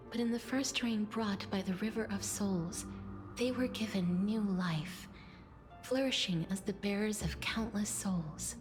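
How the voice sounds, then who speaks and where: A woman playing a serene demigod of death in a game, speaking in a calm, graceful and formal poetic manner. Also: medium pitch, natural voice. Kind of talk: game voice acting, poetic monologue. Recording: prominent music behind the voice.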